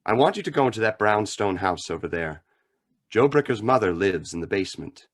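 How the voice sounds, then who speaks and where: Man playing earnest radio drama sleuth, lowish voice, philosophical, grave, measured. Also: expressive. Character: grave, philosophical male crime-fighting vigilante. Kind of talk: old-time radio drama acting.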